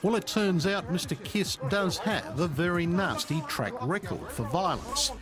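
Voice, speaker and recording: A man's voice: high-pitched, very animated, unhurried. An Australian man reading scripted current-affairs narration as a TV news reporter, with a punchy, sensational delivery. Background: music.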